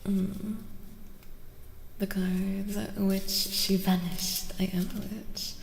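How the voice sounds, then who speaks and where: Soft-spoken woman with heavy vocal fry. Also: mid-range voice, little pitch movement. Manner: unhurried, often dropping into whispers; casual, playful, reacting to chat. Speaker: livestream host. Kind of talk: soft-spoken ASMR livestream chat. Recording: roomy echo, faint background noise.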